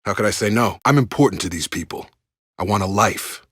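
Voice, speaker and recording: A man's voice: on the low side, brisk, a bit bright, breathy edge, no room sound, clean studio sound. An actor playing an earnest, loyal male soldier in a video game, speaking plainly and sincerely as someone who protects his people. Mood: heartfelt, resolute. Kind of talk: dramatic game dialogue voice lines. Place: dry studio recording.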